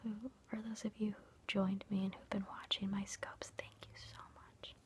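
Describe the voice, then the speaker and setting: A woman whispering softly and breathily in a gentle, casual, reassuring way, as an ASMR host chatting and guiding a meditation into her phone. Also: medium pitch, flat delivery, moderate pace. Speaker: ASMR video host leading a guided meditation. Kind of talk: whispered ASMR, soft informal chat and guided meditation.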